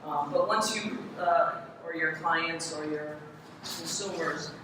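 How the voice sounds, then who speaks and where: A presenter explains parts one by one in a live hands-on demonstration. The voice sits at a middling pitch and rings heavily around a reverberant hall, with clear noise in the recording. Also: slow. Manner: explanatory, defining each part as it is shown. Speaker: presenter, window restorer. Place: large echoey room in a city hall. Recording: echoey hall, distant, noisy live event recording.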